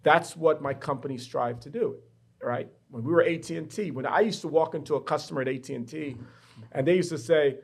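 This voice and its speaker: A man's voice: a little high, a little fast, creaky, husky. A middle-aged man from the Bahamas, a professor and guest in an interview, telling his story in a reflective, personal way. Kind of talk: candid interview conversation. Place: university studio.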